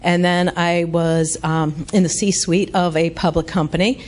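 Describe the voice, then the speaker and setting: A middle-aged woman speaks in a low, big voice into a handheld mic. She tells her career story to a business audience and laughs at herself along the way. The room is a meeting hall. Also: level tone, a little slow, darkish tone. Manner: self-deprecating, laughs through anecdotes. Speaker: guest speaker, lawyer.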